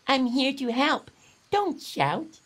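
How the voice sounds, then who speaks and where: A man's voice: extremely high pitch, sing-song, a bit bright, pitched above the performer's own voice, exaggerated voice. A man voicing a naughty, misunderstood lemur in a plaintive, moaning storybook voice. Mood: earnest. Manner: plaintive, moaning and exclaiming, drawn-out. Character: naughty, misunderstood male lemur, mopey and indignant.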